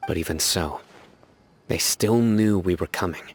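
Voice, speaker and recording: An actor voicing a stern, composed young warrior in a game, speaking in a measured, serious way. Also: male voice, low-pitched, slightly rough, faint music behind, heavily acted. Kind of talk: game cutscene voice acting.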